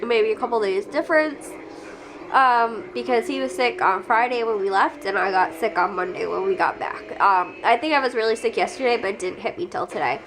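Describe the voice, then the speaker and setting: A woman's voice: a little high, fairly quick, background noise. A young woman vlogging at home while sick and congested, talking casually and rambling with frequent laughs. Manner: rambling, chatty, laughs often. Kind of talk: casual chat to camera.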